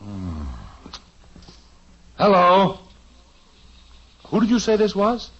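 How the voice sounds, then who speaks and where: A man's voice: sits high, wildly swooping pitch, very slow, fairly bright tone, full character voice. An actor playing a flustered, good-natured suburban father in a 1950s radio sitcom, hesitant and stalling with fillers, heard on a dull lo-fi old radio recording.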